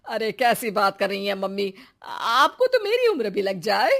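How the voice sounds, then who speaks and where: Woman doing a bossy middle-aged saas in a cartoon, medium pitch, protesting and scolding. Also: wildly swooping pitch, moderate pace, creaky, a bit bright, heavily acted. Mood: placating. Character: two-faced, bossy middle-aged mother-in-law.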